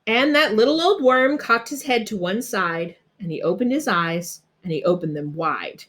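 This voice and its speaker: A woman narrating a rhyming children's storybook aloud in an expressive, dramatic way. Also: medium pitch, moderate pace. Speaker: storybook reader.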